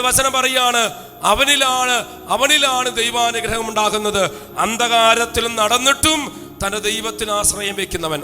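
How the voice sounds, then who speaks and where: A man preaching a fiery sermon, urging the congregation again and again to shout hallelujah. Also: very high-pitched. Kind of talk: fiery sermon with call-and-response. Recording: long hall reverb with faint music.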